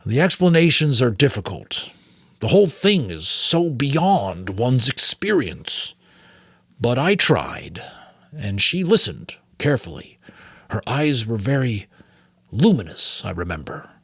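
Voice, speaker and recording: Man playing a weary old mentor, measured delivery. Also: mid-range voice, very animated, fairly bright tone, breathy edge, dry recording, studio quality, pitched above the performer's own voice, full character voice. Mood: wistful. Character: weary, wise old male mentor, burdened and gentle.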